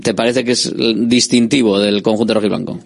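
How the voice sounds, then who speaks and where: A man's voice: lowish voice, steady tone, brisk, creaky. A man hosting a radio sports show, interviewing guests in a friendly, chatty way.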